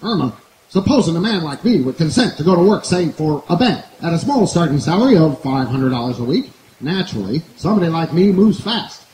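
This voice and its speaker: A man's voice: fairly high pitch, sing-song, a little fast, a little mellow. A man playing a boastful, slick schemer boyfriend in an old-time radio comedy performed live. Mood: self-pitying, grandiose. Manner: boastful, slick, self-pitying patter. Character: cocky small-time schemer boyfriend, big-talking hustler. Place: outdoor woodland stage. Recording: echoey live outdoor recording, faint noise.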